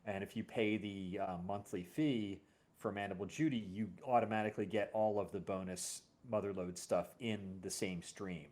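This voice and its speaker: A man's voice: on the low side, steady tone, moderate pace, a little mellow. A man hosting a casual interview, talking in a hesitant, rambling way and often correcting himself. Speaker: podcast host and audio drama series creator.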